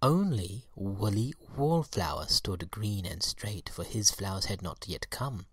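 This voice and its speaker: Male reader for children's story, husky and breathy, measured expressive narration, close dry recording. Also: on the low side, no echo.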